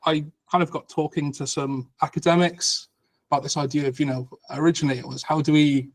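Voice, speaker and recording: A man's voice: on the high side, rough recording. A man in his thirties, a lecturer and podcast guest, explaining his ideas patiently with frequent ums. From Cardiff, Wales. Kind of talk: conversational explanation.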